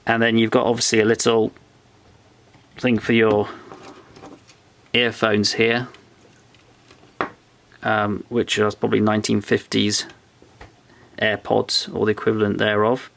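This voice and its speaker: A man talking through a piece of old electronics at his workbench, explaining each part step by step as he thinks aloud in a relaxed way. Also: on the low side, measured pace, gravelly, pauses often. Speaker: electronics restorer. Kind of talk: hands-on show-and-tell. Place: workbench indoors.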